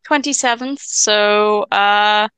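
Welsh-speaking woman, 20s, stuttering guest chatting; bright, clean, dry close sound. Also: mid-range voice, clear, resonant tone, dry recording. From Wales. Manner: stammers and restarts, stutter; chatty, quick asides and laughs. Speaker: podcast guest. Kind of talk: casual chat.

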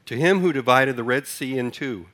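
A man's voice: mid-range voice, moderate pace, fairly bright tone. A man from Sudan preaching a sermon to a congregation, talking casually, putting questions to them and then leading a responsive reading line by line. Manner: conversational, asks the congregation questions. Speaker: pastor.

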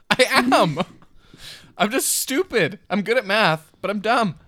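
A young man in his twenties co-hosts a loose podcast chat, cracking crude jokes and laughing along with them. His pitch sits a little high and swings all over, and the sound is close and dry. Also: expressive, slow, bright, forceful tone, no room sound. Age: 20s. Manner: joking, profane, laughs through his stories. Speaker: podcast co-host. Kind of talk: casual chat.

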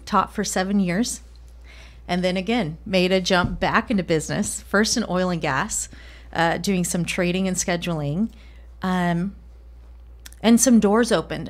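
A woman who grew up in Colombia speaks as a guest on a podcast. She thinks aloud as she talks and pauses often. Her voice sits at an ordinary middle pitch. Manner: reflective, with frequent fillers and restarts. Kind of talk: casual interview.

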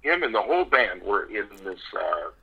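A man telling stories in a casual radio interview, his pitch rising and falling in lively swings. He laughs often in the middle of his sentences. His voice is slightly husky and comes through a muffled, lo-fi phone line. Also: moderate pace. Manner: animated storyteller, laughs often mid-sentence. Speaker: impressionist guest.

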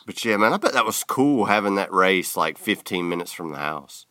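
A man's voice: deep voice, normal speed, dry recording. Male podcast host, easygoing and rambling, dry close recording. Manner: relaxed, filler-heavy Southern talk. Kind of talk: casual interview chat.

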